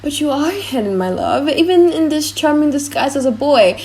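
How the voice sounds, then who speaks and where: Young romantic suitor, tender and eager, higher register, for an audiobook play woman performs. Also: lively intonation, normal speed, a little mellow. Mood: adoring. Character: lovestruck young gentleman, eager, tender, hurried. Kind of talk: audiobook drama reading.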